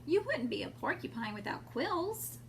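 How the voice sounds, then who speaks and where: A woman's voice: high voice, sing-song, normal speed, full character voice. A woman voicing a kindly old male porcupine uncle in a children's storybook, affectionate and reassuring. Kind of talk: children's storybook read-aloud. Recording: clear background noise.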